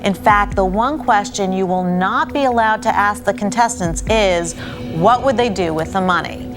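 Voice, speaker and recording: A woman's voice: medium pitch, normal speed. A woman hosting a game show, presenting to and questioning a panel in scripted style. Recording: music bed behind the voice.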